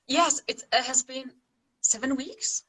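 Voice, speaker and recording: A woman from Austria, a guest sharing her experience over a video call, earnest and halting, repeating words as she searches for them. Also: high voice, bright, forceful tone, husky. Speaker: guest, former program participant.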